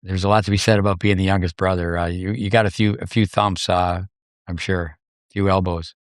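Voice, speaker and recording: A man's voice: sits low, steady tone, no room sound, clean studio sound. A man hosting a tennis podcast, joking loosely with his guest and drawing out his questions with fillers. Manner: joking, folksy, drawn-out filler. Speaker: podcast host. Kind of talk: casual interview hosting.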